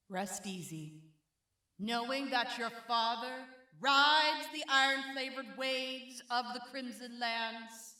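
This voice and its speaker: A woman's voice: fairly high pitch, pushed and bright. A woman playing an imperious, cruel raider cult leader in a post-apocalyptic audio drama, making pompous ceremonial pronouncements. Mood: solemn, menacing. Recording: echoey room, distant from mic.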